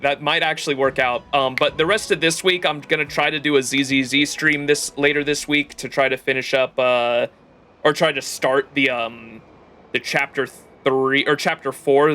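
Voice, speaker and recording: A man doing casual livestream commentary on a game, excitable and rambling as he chats. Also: mid-range voice, moderate pace. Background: game music.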